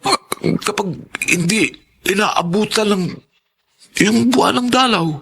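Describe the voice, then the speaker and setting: An actor playing a sheepish, defensive teenage schoolboy in a radio drama, hesitant, whiny and stammering. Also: male voice, high-pitched, measured pace, creaky, bright, forceful tone, slightly rough, exaggerated voice. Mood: nervous, evasive. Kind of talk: Tagalog radio drama acting.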